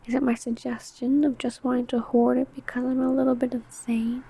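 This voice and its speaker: A woman playing a seductive, possessive female vampire who stalks her prey in an intimate ASMR roleplay, teasing and menacing in a breathy, often whispered voice. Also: fairly high pitch, little pitch movement, warm tone, a little hiss or hum. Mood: smug, scolding. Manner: slow, teasing, menacing, deliberate.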